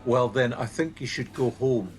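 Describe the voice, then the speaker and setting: A man playing a firm, measured team supervisor. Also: mid-range voice, soft background music, close to an everyday voice. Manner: measured, tactful, insistent. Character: earnest, firm male supervisor of a helpline team. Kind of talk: naturalistic radio play acting.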